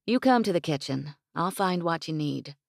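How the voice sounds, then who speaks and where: A woman's voice: low-pitched, animated, moderate pace, gravelly, no echo, clean studio sound, heavily acted. A woman voicing a spirited young ranch woman with a teasing, bossy drawl, read in an audiobook. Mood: playful.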